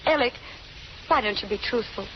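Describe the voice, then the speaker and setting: A woman's voice: a little high, animated, moderate pace, natural voice. An actress playing an anguished, devoted young fugitive woman, earnest and melodramatic in old-time radio style. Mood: fearful, pleading. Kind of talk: 1930s radio drama acting. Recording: muffled, noisy old radio recording.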